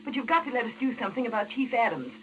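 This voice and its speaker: An actress playing an anxious, pleading heroine in a 1940s radio thriller, with a worried, earnest delivery. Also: female voice, a little high, expressive, racing, fairly bright tone, a little hiss or hum, rough recording.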